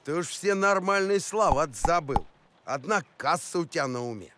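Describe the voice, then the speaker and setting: A man's voice: on the high side, lively intonation, normal speed, background noise, natural voice. A man voicing a good-natured, sceptical talking dog in a cartoon, with a wry, grumbling manner. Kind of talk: animated cartoon voice acting. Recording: music behind the voice.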